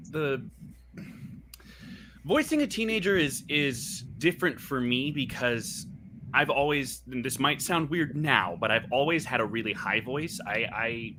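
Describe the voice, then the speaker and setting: A man's voice: mid-range voice, bright, forceful tone, slight background noise. A man with a youthful-sounding voice, speaking reflectively as a voice actor on a casual video-call panel. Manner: reflective, earnest, with restarts.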